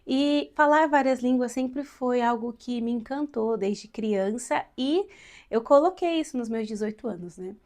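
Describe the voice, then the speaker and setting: A woman's voice: a little high, unhurried, a little mellow. A woman in her late twenties from São Paulo with a São Paulo accent, a teacher giving viewers chatty, encouraging advice in a casual vlog. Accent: São Paulo Brazilian Portuguese. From São Paulo, Brazil. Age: late 20s. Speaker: vlogger and Portuguese teacher.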